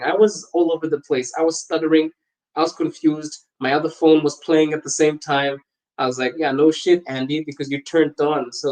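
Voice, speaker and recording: A young man from Botswana chats loosely on a livestream, answering viewer comments as he goes. His voice sits a little high for a man, and he laughs often and rambles between topics. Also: poor audio. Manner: animated, laughing often, wandering and talkative. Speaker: podcast host on a livestream. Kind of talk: casual livestream chat reading viewer comments.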